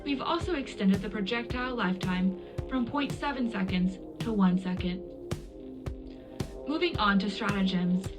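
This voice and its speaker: A woman playing a wartime radio news presenter, reading a scripted military bulletin in a measured, formal announcer delivery. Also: mid-range voice, natural voice. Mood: composed, official. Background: loud music bed.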